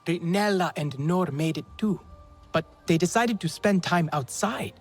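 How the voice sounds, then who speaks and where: An actress playing an eager, anxious young alien woman in a video game, with a husky voice. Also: on the low side, a little slow, faint music behind. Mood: relieved, worried. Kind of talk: video game cutscene acting.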